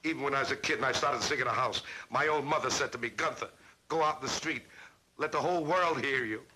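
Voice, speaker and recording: An actor playing a middle-aged, self-pitying man with a gravelly voice, speaking plaintively in a 1960s sitcom. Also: mid-range voice, fast, background noise, close to an everyday voice. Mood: mournful.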